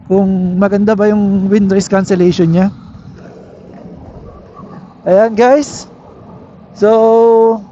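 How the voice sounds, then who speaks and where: A Filipino man with a high-pitched voice talks casually through a product review, explaining and checking in with the viewer. His helmet intercom sounds dull, with faint vehicle noise behind him as he rides. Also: warm tone. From Philippines. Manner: explanatory, with frequent tag questions. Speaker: motovlogger reviewing gear. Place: on a moving motorcycle, inside a helmet. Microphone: helmet intercom microphone.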